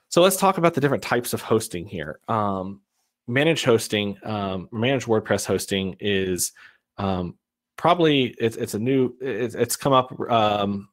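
Guy in his 30s on a video call, lowish voice, chatty webinar talk with lots of uhs. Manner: casual, many ums and uhs, restarts. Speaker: web agency owner presenting. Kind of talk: casual webinar presentation. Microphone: webcam video call.

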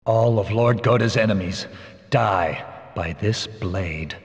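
A slow, stern ninja hero. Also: male voice, lowish voice, slight background noise. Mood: resolute. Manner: grave, determined. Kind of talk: video game cutscene acting.

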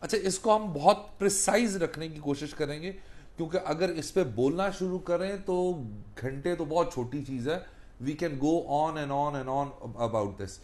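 A man in his thirties with a Pakistani accent, explaining emphatically like a lawyer giving a lecture, deliberate when he switches into English. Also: a little high, a little mellow. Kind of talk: explanatory talk mixing Urdu and English. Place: small book-lined law office.

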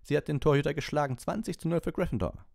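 A man voicing an excitable, partisan schoolboy sports commentator in a storybook, with breathless, exclamatory play-by-play. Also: fairly high pitch, quick pace, vocal fry, no room sound, clean studio sound, pitched above the performer's own voice. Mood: thrilled, partisan.

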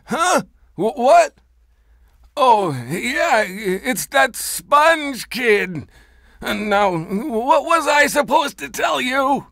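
An elderly, washed-up cartoon superhero for a video game. The voice is high and bright, with slow, befuddled delivery. He is forgetful and cranky, prone to grand exclamations. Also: vocal fry, no room sound, clean studio sound, exaggerated voice. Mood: confused, forgetful. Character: doddering, forgetful, cranky old retired superhero.

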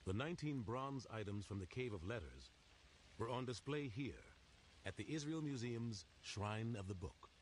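A man reading scripted documentary narration in a measured, storytelling way. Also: mid-range voice, expressive, a little hiss or hum. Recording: narration over loud music.

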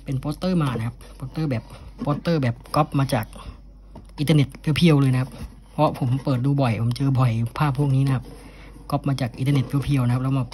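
A man's voice: mid-range voice, fast. A man speaking casually and unscripted as he presents an item from his collection, often ending phrases with polite particles. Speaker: cassette collector presenting an unboxing. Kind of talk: casual unscripted product showcase. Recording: steady background noise.

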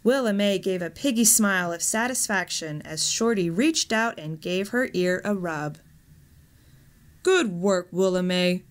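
A woman reading a scripted story in a measured, expressive storytelling manner. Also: medium pitch. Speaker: story reader, actor.

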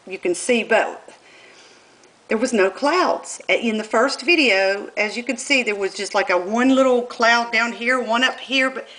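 Bright-voiced southern woman, insistent. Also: on the high side, rough recording. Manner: insistent and argumentative, talking while filming a screen. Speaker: vlogger. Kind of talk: casual monologue. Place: indoors at a computer.

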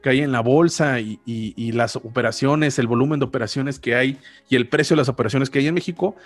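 A man's voice: mid-range voice, brisk, soft background music. A man in his thirties with a Mexican accent, explaining things casually as a podcast host, often correcting himself as he goes, close on a studio microphone. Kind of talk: casual explanatory finance talk. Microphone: large studio microphone on a boom arm, close.

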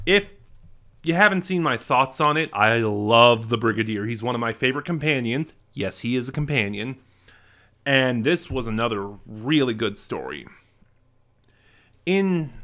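Bright-voiced chatty young man vlogging. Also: medium pitch. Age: young adult. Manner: chatty, enthusiastic, animated hand gestures. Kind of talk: casual unboxing vlog. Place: small home room with bookshelves. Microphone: desk mic in front of webcam.

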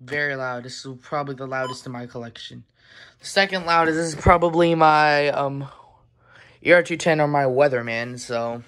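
A person talking informally and haltingly in a casual show-and-tell vlog, filmed on a handheld phone indoors at home. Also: mid-range voice, a bit bright. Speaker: collector presenting weather radios. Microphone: handheld phone camera. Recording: noisy handheld recording with clicks.

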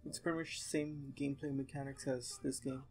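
A man's voice: mid-range voice, little pitch movement, fairly quick, darkish tone, faint music behind. A young man making casual remarks as he watches a friend play a video game. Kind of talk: casual chat over gameplay.

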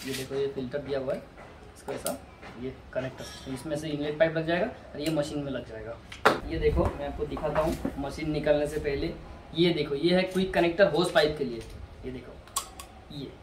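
A man casually presenting a product unboxing, informal and repetitive as he works, recorded outdoors on a porch from a camera a few metres away. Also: a little high. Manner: informal, hands-on, repeating himself while handling items. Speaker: product reviewer. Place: outdoor porch by house steps. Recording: roomy, distant mic, clearly noisy, clicks.

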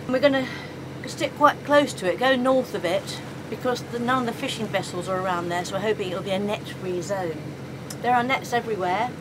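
A woman's voice: medium pitch, a little fast. Matter-of-fact woman talking aboard a sailboat. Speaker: sailing vlogger. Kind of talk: casual on-camera talk. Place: outdoors on a sailboat at sea. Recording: clear wind and boat noise.

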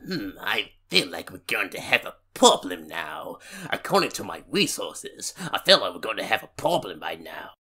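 A man's voice: on the low side, sing-song, moderate pace, pushed and bright, slightly rough. A man acting out cartoon lines for a voice audition.

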